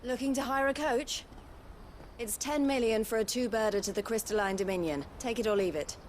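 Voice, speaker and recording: An actress playing a shrewd, haughty merchant in a video game, with a wry, businesslike manner. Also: female voice, on the high side, a little fast, a little mellow, a little hiss or hum, lightly acted. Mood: brisk. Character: brisk, shrewd, haughty female caravan owner.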